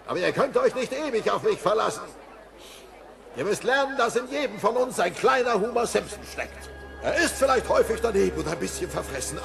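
An actor playing a bumbling, earnest, excitable middle-aged cartoon father in a German-dubbed audio play, speaking in a declamatory way. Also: high voice, gravelly, exaggerated voice. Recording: noisy old cassette audio play.

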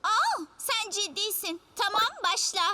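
A woman's voice: extremely high pitch, animated, unhurried, very noisy. A woman voicing a sensible, sarcastic young girl in a cartoon dub, with a teasing manner. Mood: skeptical. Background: loud music.

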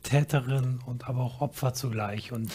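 A man's voice: mid-range voice, fairly even intonation, normal speed, darkish tone, slight background noise. A middle-aged man moderating a studio discussion, asking pointed, probing questions. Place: studio stage.

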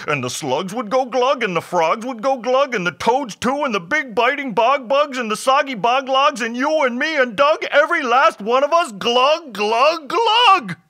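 A man's voice: very high-pitched, bright, forceful tone, voice pushed up, exaggerated voice. A man voicing a tearful, indignant hobgoblin from a storybook, with a slobbery, wailing manner. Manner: sobbing, wailing, slobbery howl. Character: tearful, pleading, indignant male bog hobgoblin.

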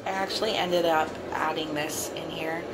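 Chatty low-voiced woman vlogging. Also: little pitch movement, moderate pace, poor audio. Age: adult with a son. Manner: chatty, upbeat, repeats herself. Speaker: cleaning vlogger. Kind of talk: casual show-and-tell vlog. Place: home bathroom. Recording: music playing behind her voice.

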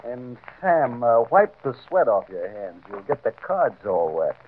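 An actor playing a contemptuous, bullying big-shot gambler in an old-time radio crime drama, needling and threatening. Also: male voice, medium pitch, big pitch swings, measured pace, a little hiss or hum. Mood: sneering. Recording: vintage radio, narrow phone-like band.

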